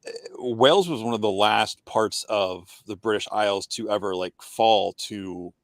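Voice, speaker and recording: A fairly low-pitched man asks questions on a podcast. He stops and restarts often and fills the gaps with "like" and "uh". His voice is recorded dry, with almost no room sound. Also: a little slow, no room sound. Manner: hesitant, many fillers and restarts, asks questions. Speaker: podcast host. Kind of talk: casual podcast conversation.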